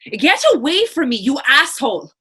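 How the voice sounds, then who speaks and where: Woman playing a high, sharp, defiant woman. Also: big pitch swings, moderate pace, heavily acted. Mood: desperate, angry. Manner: sharp, archaic, righteous phrasing. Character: defiant, scornful, pious-tongued woman accused of witchcraft. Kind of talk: stage readers theater drama.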